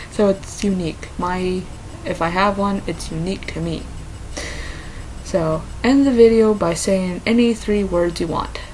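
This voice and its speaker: A woman with a mixed Minnesota and Southern accent who answers quiz questions in a casual vlog and talks haltingly with many fillers. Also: medium pitch, darkish tone, a little hiss or hum, rough recording. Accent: mixed Minnesota and Southern American. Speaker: vlogger.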